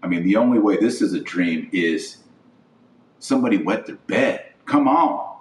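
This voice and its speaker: A male podcast host delivers indignant religious commentary with big, animated swings of pitch. He leans on rhetorical questions and emphatic phrasing. The recording is clearly echoey, with his voice a little away from the mic. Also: normal speed. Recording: roomy echo, distant from mic.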